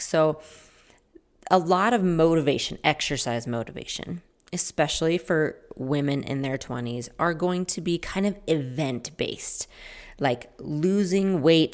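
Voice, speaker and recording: A woman in her forties with a notably low-pitched voice, talking through a solo podcast episode. Her delivery is chatty and loose, wandering between thoughts and correcting herself as she goes. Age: 40s. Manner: chatty, rambling, corrects herself mid-sentence. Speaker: podcast host. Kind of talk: casual solo podcast talk.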